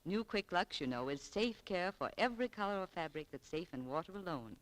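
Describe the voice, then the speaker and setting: A woman's voice: on the low side, lively intonation, fairly quick, rough recording. A woman announcer reading a scripted 1940s radio advertisement in a polished, persuasive way. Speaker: sponsor announcer.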